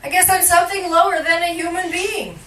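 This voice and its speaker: A woman giving a live poetry reading in measured, deliberate phrases with emphatic line breaks, recorded indoors at a cafe. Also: very high voice, a bit bright. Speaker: poet, featured reader at a poetry reading. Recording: roomy, distant live recording with faint noise.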